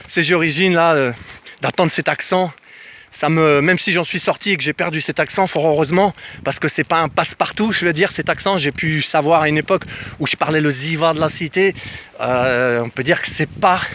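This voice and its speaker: A man from France telling a casual personal travel story to camera in an animated way, acting out bits of dialogue as he goes. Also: on the high side. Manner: animated, acts out reported dialogue. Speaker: travel vlogger. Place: outdoors in the countryside.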